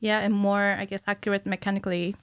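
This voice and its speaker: A woman's voice: medium pitch, racing, smooth. Giggly woman chatting about her work. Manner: giggly, animated hand gestures. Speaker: podcast guest, cinematic animator. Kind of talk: casual explanatory interview chat. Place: small indoor podcast studio with curtains. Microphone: studio mic on stand, headphones.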